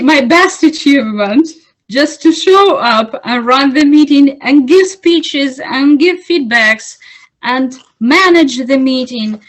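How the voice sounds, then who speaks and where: A woman's voice: sits high, a bit bright, low-quality recording. A woman from Russia with a Russian accent, answering interview questions as a club president, earnest and searching for words.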